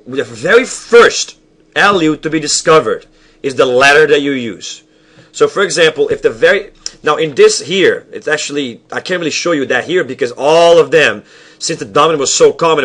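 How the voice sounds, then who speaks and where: A man's voice: a little high, lively intonation, a bit bright. A young male teacher giving a recorded lecture over slides at his desk, explaining step by step, often restarting sentences and checking in with the viewer. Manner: halting, self-correcting explanations with frequent restarts; asks the viewer questions and checks in with "all right?". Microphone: webcam at desk.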